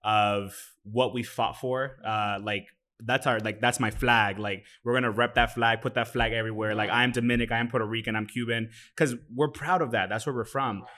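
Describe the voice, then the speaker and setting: A man's voice: medium pitch, steady tone, normal speed, sharp tone. A man with a mid-range voice making his points earnestly and with emphasis. His speech is loose and casual, peppered with "like" as he works through his thoughts. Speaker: podcast co-host. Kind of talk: casual panel discussion.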